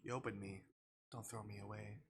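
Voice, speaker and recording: A man's voice: fairly low pitch, fairly even intonation, fairly quick, pitched below the performer's own voice, lightly acted. A man voicing a strange, clingy male houseguest from a visual novel, eager and pleading. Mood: quiet pleading. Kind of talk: visual novel dialogue read aloud.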